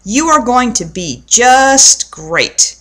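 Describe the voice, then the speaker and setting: Woman voicing a mother of young kids, patient, reassuring, calm, children's storybook character. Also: on the high side, slow, heavily acted. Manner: calm, encouraging. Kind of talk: children's storybook read aloud.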